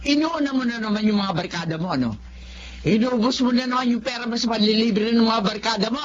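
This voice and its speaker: A woman's voice: fairly high pitch, brisk, highs cut off, a little hiss or hum, natural voice. A woman playing an exasperated middle-aged mother who scolds and nags with a Filipino accent in a radio drama. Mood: angry. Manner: scolding, emphatic, sing-song nagging.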